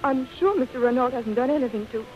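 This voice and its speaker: Anxious young society daughter, highish voice actress. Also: female voice, fast, lightly acted. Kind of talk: 1930s radio acting. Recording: muffled 1930s radio recording, music.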